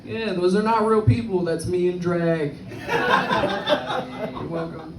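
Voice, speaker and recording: A woman from the South Bronx with a Bronx accent and a deep, slightly cracked voice, doing a brash, crude stand-up set on a club stage. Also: takes it slowly, very noisy. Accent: Bronx New York. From South Bronx, New York. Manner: brash, crude, self-mocking punchlines. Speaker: stand-up comedian. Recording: echoey club, far from the mic. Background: audience laughter.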